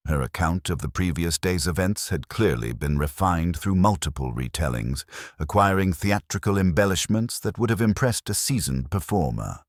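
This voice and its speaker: A man reading scripted mystery audiobook narration in a measured, dry, formal storytelling manner. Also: deep voice, animated, no echo.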